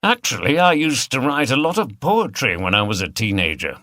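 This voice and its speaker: A man's voice: medium pitch, big pitch swings, normal speed, bright, forceful tone, no room sound, clean studio sound, voice pushed up. A man voicing a tired, good-humoured older male detective with a mild, self-deprecating manner in an audiobook. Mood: musing.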